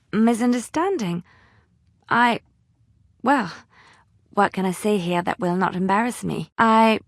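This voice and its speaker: Tender-hearted young fantasy game woman, earnest and easily hurt, hesitant, mid pitch, dry close sound. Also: dry recording. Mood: flustered, hesitant. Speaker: voice actor in a fantasy role-playing game. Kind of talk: dramatic video game dialogue.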